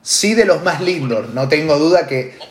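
A man's voice: a little high, animated, moderate pace. Emphatic Argentine man in an echoey room. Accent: Argentine Spanish. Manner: emphatic, repeats phrases for stress. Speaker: podcast host. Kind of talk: opinionated casual talk.

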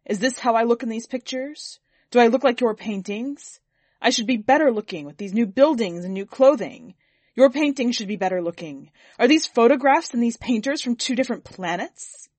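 A woman voicing a vain, pompous, quick-tempered lordly master in a story, speaking with indignant emphasis. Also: fairly high pitch, animated, a little mellow, no room sound.

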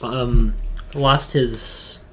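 A man's voice: mid-range voice, fairly even intonation, drawn-out words, slight background noise. Opinionated young-sounding slangy man podcasting. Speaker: podcast co-host. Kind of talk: casual pop-culture chat.

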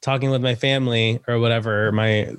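A man's voice: mid-range voice, fairly even intonation, fairly quick, clean tone, no room sound. Chatty young man with a dry sound. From United States, Salvadoran family. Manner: chatty, laughs often, many fillers. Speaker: podcast guest, actor and writer. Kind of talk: casual interview chat.

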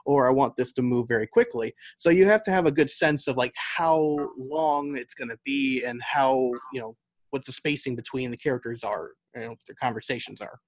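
A man's voice: mid-range voice, normal speed, no room sound, poor audio. An American man speaking as an interview guest, explaining his craft conversationally and thinking his points through aloud. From United States. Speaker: podcast guest, novelist and audio drama writer.

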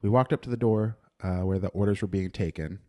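A young man with a lowish voice reads his own written food review aloud on a podcast. He is dry and deadpan, with plenty of "uh" restarts as he goes. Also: fairly quick. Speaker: podcast co-host.

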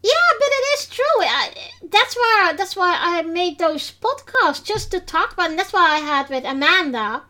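High-voiced Dutch woman hosting a podcast. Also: animated, moderate pace, few pauses, sharp tone. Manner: hesitant, many fillers and restarts. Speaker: podcast host. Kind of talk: casual podcast chat.

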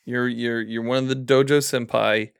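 A man's voice: mid-range voice, steady tone, moderate pace, vocal fry, smooth. A man hosting a casual chat, rambling loosely and joking at his own expense. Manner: loose, rambling, self-deprecating jokes. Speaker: podcast host.

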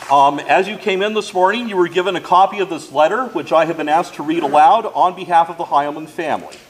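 Man, slightly high voice, formal, measured, church announcement reading, worship leader. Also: rough recording. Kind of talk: reading announcements aloud to a congregation. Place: church during a service.

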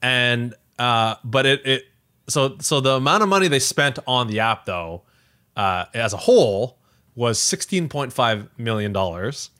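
A man's voice: mid-range voice, a little slow, clean tone. A man co-hosting a podcast in casual tech chat, talking in a conversational way peppered with "like" and "you know". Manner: animated, conversational, filler-heavy with frequent "like" and "you know". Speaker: podcast co-host.

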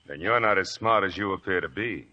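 Sly low-key stranger, lowish bright voice, old radio drama. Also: male voice, brisk, heavily acted. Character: sly, calm male stranger, nosy intruder. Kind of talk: 1940s radio acting. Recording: dull lo-fi old radio recording.

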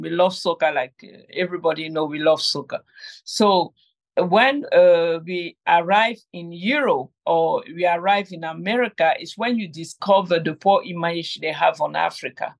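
A woman's voice: lowish voice, gravelly. A middle-aged woman from Cameroon, telling her story as an interview guest with many fillers and restarts. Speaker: guest, game inventor. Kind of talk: conversational interview.

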